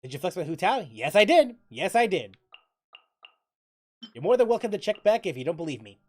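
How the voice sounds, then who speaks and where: Animated game streamer with lively, swinging pitch. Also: fairly quick, breathy edge. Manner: thinking aloud, repeats phrases, breaks into loud exclamations. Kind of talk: casual livestream chat and gameplay commentary.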